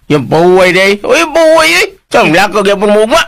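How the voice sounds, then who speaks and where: A man playing an excitable, teasing young nephew in a radio drama. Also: high-pitched, brisk, gravelly, full character voice. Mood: joking.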